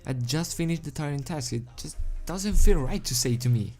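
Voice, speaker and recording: A man who is not a native English speaker, reading and commenting as an amateur in a halting, giggly, self-deprecating way. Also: a little high, animated, darkish tone. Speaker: amateur fan fiction reader. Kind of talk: story reading with casual commentary. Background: background music.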